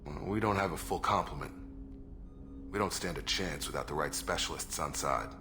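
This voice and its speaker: An actor playing a steady, proud ex-military crew officer in a video game, plain-spoken and matter-of-fact. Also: male voice, a bass voice, moderate pace, over music. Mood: frank, measured. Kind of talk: video game character acting.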